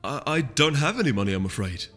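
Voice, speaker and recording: Guy playing a friendly young pony hero, placating and polite, swingy pitch, audiobook with music. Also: sing-song, fast, fairly bright tone. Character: amiable, easygoing young male winged-unicorn prince type. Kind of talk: audiobook character voice. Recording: echoey hall reverb, music behind.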